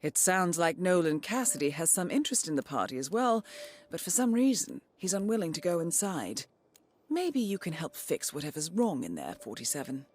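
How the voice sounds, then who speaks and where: A woman voicing a composed intelligence handler in a video game, briefing an agent in measured, articulate, formal phrasing. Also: fairly low pitch, big pitch swings, a little mellow. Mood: calm, analytical. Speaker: mission handler. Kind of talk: scripted game briefing narration.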